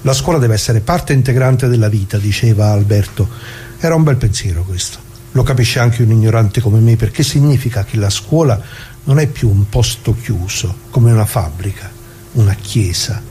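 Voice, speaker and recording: A man's voice: lowish voice, normal speed, warm tone, a little hiss or hum, lightly acted. An actor playing a talkative working-class grandfather, an old leftist reminiscing in a folksy radio monologue full of asides. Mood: admiring. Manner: folksy, rambling, full of asides and rhetorical questions. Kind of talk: theatrical monologue for radio, colloquial storytelling.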